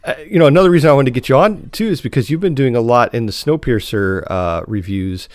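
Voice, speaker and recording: A man's voice: mid-range voice, dry recording. Guy podcast host, chatty n casual, stumbles and restarts a lot, really dry room. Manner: halting, repeats words and restarts often.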